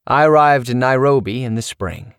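A middle-aged man narrating his own memoir as an audiobook, telling it in an animated, expressive way. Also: mid-range voice, normal speed, smooth, no room sound, studio quality.

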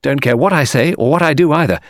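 A man's voice: a little high, fast, dry recording, studio quality, pitched above the performer's own voice, lightly acted. A man reading aloud, voicing an impudent, chattering young actress in her late teens. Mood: chatty. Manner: chattering, teasing, theatrical. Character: impudent cheeky young woman, teenage actress.

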